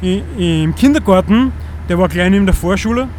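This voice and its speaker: A man's voice: high-pitched, animated, moderate pace, a little mellow, slight background noise. A man in his thirties with an Austrian accent, talking in an earnest, rambling monologue and often restarting as he searches for words. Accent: Austrian German. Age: 30s.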